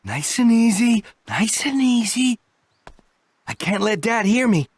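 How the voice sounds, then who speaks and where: A woman's voice: on the high side, expressive, a little slow, a little mellow, slightly rough, no echo. A woman voicing an evasive, slightly cocky young son in an anime game, casual and hesitant. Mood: sheepish, evasive.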